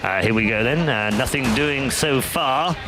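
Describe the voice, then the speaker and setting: A man voicing a posh, proper English gentleman commentator with prim, genteel politeness. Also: medium pitch, unhurried, heavy background noise, pitched below the performer's own voice, natural voice. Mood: mock-genteel.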